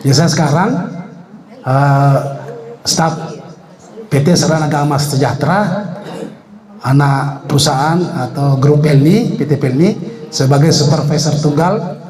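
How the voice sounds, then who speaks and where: A man's voice: fairly high pitch, darkish tone. Older man speaking in an echoey room. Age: 60s. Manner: chatty and joking, with many hesitations. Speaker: retired health official addressing an association meeting. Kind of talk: informal speech at a meeting.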